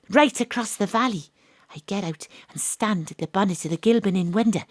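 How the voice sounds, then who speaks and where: A hard-boiled female private eye telling her own case in an audio drama. Her voice is husky and breathy, recorded close and dry. She is confiding and theatrical, with deadpan noir humor. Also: mid-range voice, no room sound. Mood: confiding, wondering. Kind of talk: first-person audio drama narration. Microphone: close studio mic, home studio.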